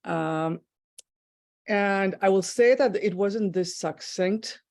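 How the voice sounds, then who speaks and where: A woman's voice: mid-range voice, takes it slowly, darkish tone. A woman taking part in an online workshop, speaking thoughtfully over a video call and searching for her words. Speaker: workshop participant. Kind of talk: reflective personal sharing.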